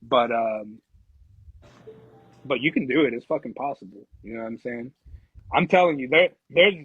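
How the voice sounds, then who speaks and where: A man's voice: medium pitch, lively intonation, normal speed, slightly rough, muffled recording, soft background music. A man talking in an emphatic, slangy way as a podcast guest, often checking that the listener follows. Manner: emphatic, slangy, keeps checking "you know what I'm saying". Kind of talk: casual chat.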